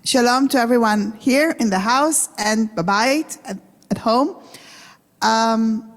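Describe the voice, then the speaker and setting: A woman's voice: fairly high pitch, slow. A woman with a Russian and Israeli accent giving a conversational, interactive lecture to a congregation, asking the audience questions. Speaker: guest lecturer. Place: synagogue hall. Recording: reverberant hall.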